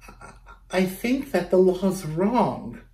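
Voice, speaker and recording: A man's voice: high-pitched, big pitch swings, slow pace, mellow, roomy sound, pitched above the performer's own voice, exaggerated voice. A man voicing an unsure but earnest second-grade girl from a children's storybook. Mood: hesitant. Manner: hesitant, earnest.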